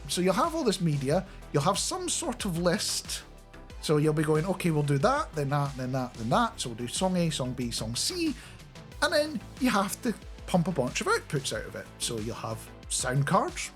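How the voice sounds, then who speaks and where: A middle-aged man giving a casual, chatty and animated explainer into a close studio microphone. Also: on the high side, normal speed, faint music behind. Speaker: radio producer and software developer presenting a video. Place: small home studio indoors. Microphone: close studio microphone on a boom arm.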